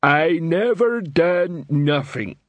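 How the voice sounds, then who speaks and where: Man voicing a slow, sullen troll growl. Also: on the high side, lively intonation, no echo, pitched above the performer's own voice. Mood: sullen, defensive. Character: hulking suspicious male troll, sullen and slow-witted. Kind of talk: audiobook character voice.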